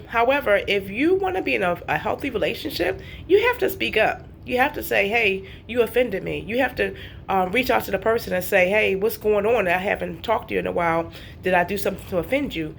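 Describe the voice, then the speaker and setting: A woman's voice: mid-range voice, slight background noise. A woman with a stuffy cold, talking casually and earnestly to her viewers about life lessons, with many ums and restarts. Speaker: vlogger giving life advice. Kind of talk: casual motivational talk to camera.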